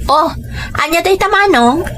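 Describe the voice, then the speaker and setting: A woman's voice: very high-pitched, lively intonation, normal speed, background noise. A woman playing a dutiful younger sister who works abroad as a domestic helper, speaking with a Filipino Ilocano accent in an audio drama with first-person narration. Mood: caring, agreeable.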